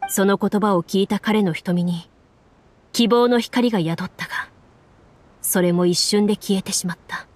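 A woman voicing a composed game master, narrating a grim fantasy tale in a measured, solemn way. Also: mid-range voice, a little mellow, natural voice. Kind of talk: scripted tabletop story narration.

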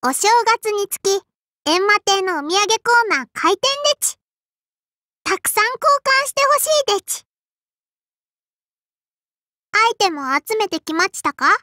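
A woman's voice: extremely high pitch, animated, measured pace, long pauses, sharp tone, no room sound, studio quality, heavily acted. A woman voicing a tiny, prim and strict sparrow girl innkeeper with a childlike lisp and a cutesy verbal tic, in an anime mobile game. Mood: prim, cheerful. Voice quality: childlike, lisping. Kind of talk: Japanese anime mobile game character voice lines.

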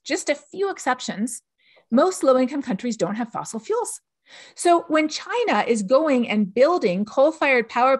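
Lively woman on a dry video call. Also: fairly high pitch, no room sound. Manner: lively, asks rhetorical questions. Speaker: author and guest. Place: home office.

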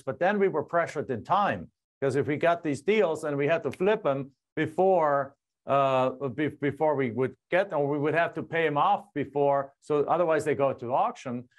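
A middle-aged man from Germany with a German accent, a guest telling his story animatedly in a casual interview, recorded on a lapel mic in a home studio. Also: on the high side. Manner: animated, gestures a lot, frequent restarts. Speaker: guest, land investor. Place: home studio with screen and map backdrop.

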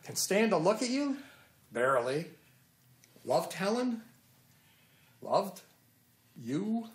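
Sardonic older American man, swooping pitch. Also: very animated, takes it slowly, exaggerated voice. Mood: bitter, hurt. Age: 60s. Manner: blunt, sardonic. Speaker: actor. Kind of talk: naturalistic film dialogue.

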